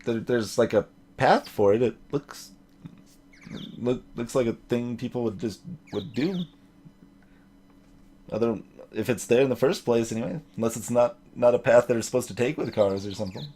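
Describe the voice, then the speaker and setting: A man's voice: mid-range voice, moderate pace, long pauses, mellow. A man streaming a video game, casually commentating and reading dialogue aloud in a rambling, dryly joking way. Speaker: gaming streamer. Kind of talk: let's play commentary with dialogue read aloud. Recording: clear background noise.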